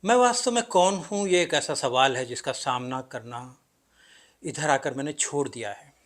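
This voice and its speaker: A male actor playing an enigmatic, self-doubting everyman narrator in a stage play, addressing the audience with deliberate dramatic pauses and emphatic swings. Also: medium pitch, animated, a bit bright, close to an everyday voice. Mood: searching, intense. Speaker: actor performing a play monologue. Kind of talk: theatrical stage monologue, addressing the audience directly.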